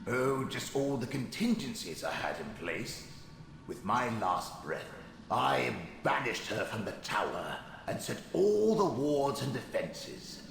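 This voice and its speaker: A man's voice: medium pitch, very animated, takes it slowly, vocal fry, fairly bright tone, full character voice. A man playing the bitter, sardonic ghost of a murdered wizard in a video game, with a weary, theatrical delivery. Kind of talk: video game character acting. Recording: long ghostly reverb, some noise.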